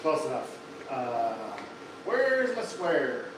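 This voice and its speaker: Minnesotan guy in his thirties, somewhat high voice, rambling self-mocking live-stream chat from a home garage on a phone mic. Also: very animated, takes it slowly, noisy background. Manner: rambling, self-deprecating, joking. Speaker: livestreaming truck builder. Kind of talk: casual live stream chat while working. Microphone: phone held at arm's length, later set down away.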